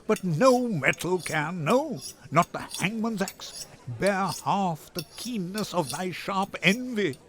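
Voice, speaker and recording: A man's voice: high-pitched, animated, slow, fairly bright tone, exaggerated voice. An older man voicing a hot-tempered young man, scornful and declamatory, in a dramatic Shakespeare reading. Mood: scornful outrage.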